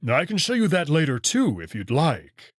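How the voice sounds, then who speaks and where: A man's voice: on the high side, huge pitch swings, normal speed, no room sound, clean studio sound. A man voicing a friendly young male wolf with a polite, slightly formal, eager manner in a visual novel. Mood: explanatory. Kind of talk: visual novel character reading.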